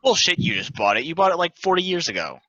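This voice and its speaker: A man's voice: fairly high pitch, very animated, fast talker, sharp tone, no room sound, muffled recording. A man reading the part of a brash, foul-mouthed friend, arguing in slangy banter at a play table read. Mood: exasperated. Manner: combative, slangy.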